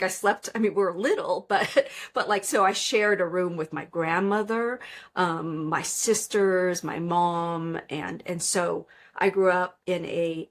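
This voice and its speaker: A woman in her fifties, born in Vietnam with Vietnamese as her first language, speaking as a podcast guest and telling her life story in relaxed, reflective conversation. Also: fairly low pitch. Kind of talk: casual interview chat.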